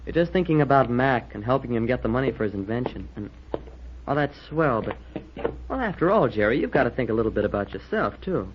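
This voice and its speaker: An actor playing a loyal, talkative schoolboy chum in 1940s radio style, frank, concerned and boyishly slangy. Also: male voice, medium pitch, very animated, fairly quick, lightly acted. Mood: frank, supportive. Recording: noisy, dull old radio recording.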